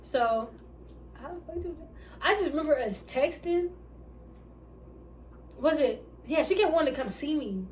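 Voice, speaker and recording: A woman with a light, slightly high voice rambles casually and loses her place mid-story. She sits back from the mic, and a faint hiss runs underneath. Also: lively intonation, moderate pace, pauses often, darkish tone, far from the mic, poor audio. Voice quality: light, somewhat feminine voice. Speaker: vlogger answering couple questions. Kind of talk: casual mukbang chat.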